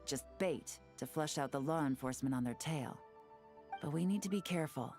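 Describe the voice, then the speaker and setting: A woman voicing a calm, shrewd agent in a game, cool and composed with a teasing edge. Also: deep voice, moderate pace, soft background music, close to an everyday voice. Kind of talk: game voice acting.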